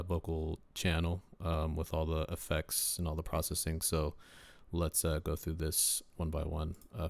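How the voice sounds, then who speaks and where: A deep-voiced young man, a rapper casually walking through his mixing setup in a relaxed way, with lots of ums and uhs. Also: creaky, dry recording. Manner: laid-back, lots of uh and um fillers. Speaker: rapper and home mixing engineer. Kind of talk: casual screen-share mixing tutorial.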